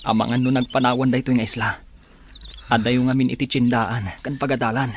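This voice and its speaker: A man playing a practical Ilocano castaway, mid-pitched. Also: little pitch movement, fairly quick, slight background noise, natural voice. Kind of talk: Ilocano radio drama acting.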